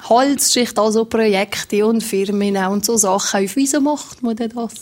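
A woman's voice: on the high side, a little slow. Teasing Swiss German woman host. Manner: teasing, lively, laughs along. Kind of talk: radio interview.

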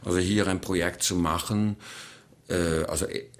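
A man's voice: low voice, steady tone, moderate pace. A man from the Netherlands with a Dutch accent, a choreographer answering interview questions hesitantly, with many fillers.

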